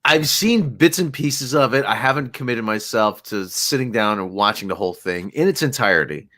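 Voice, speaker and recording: A man's voice: mid-range voice, expressive, normal speed, fairly bright tone. A man chatting casually as a panelist, informal and joking. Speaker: show panelist. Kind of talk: casual chat.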